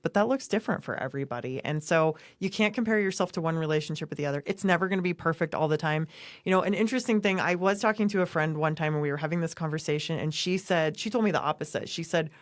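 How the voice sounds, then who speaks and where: Middle-aged woman, low pitch, emphatic, casual podcast talk, dry sound. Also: no echo. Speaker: podcast co-host.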